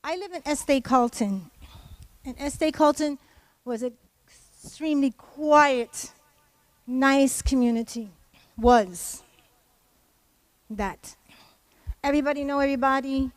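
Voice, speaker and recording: A woman's voice: sits high, takes it slowly, pauses often. A woman speaks publicly as a community member. Her delivery is impassioned and emphatic, leaning on repeated phrases. The sound is dry, with very little echo. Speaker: community member giving testimony. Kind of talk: impassioned public speech at a memorial event.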